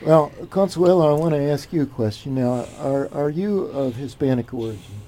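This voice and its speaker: A man hosting a talk show, asking his questions slowly and deliberately with many fillers. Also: medium pitch, animated, mellow. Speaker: host.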